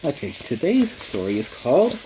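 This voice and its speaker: A man's voice: mid-range voice, huge pitch swings, normal speed, noisy background. An American man hosting a story time for young viewers as a friendly superhero, speaking in an earnest, instructive way with simple phrasing. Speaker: storytime show host in character as a superhero.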